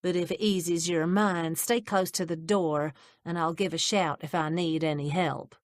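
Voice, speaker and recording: A woman's voice: lowish voice, fairly even intonation, no room sound, studio quality, close to an everyday voice. Woman playing a forthright, confident lady. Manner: brisk, confident, gentle with the old. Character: bold, forthright well-off lady.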